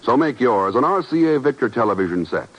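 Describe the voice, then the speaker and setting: A man announcing in 1950s radio style, reading scripted ad copy with a polished, emphatic and deliberate delivery. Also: mid-range voice, very animated, breathy edge. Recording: muffled old radio recording.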